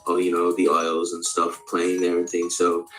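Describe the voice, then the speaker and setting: Low-voiced male speaker, halting and candid. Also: monotone, fairly bright tone, faint music behind. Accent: American, put on over native Australian. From Australia. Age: around 40. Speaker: actor introducing themself. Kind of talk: rambling casual monologue.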